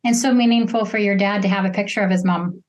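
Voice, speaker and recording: A woman's voice: medium pitch, steady tone, fast talker. A woman chatting casually as co-host of an interview. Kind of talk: casual chat.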